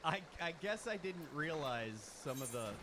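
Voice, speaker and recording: A man's voice: a little high, big pitch swings, moderate pace, heavy background noise. A man bantering casually while playing a video game. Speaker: gamer, co-player. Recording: loud music behind. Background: game music.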